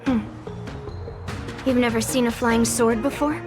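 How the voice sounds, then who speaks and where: A determined young swordswoman, voiced by a woman, speaking directly and with urgency. Her voice sits a little high. Game music plays clearly underneath. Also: fairly even intonation, measured pace, natural voice. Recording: game audio with music behind.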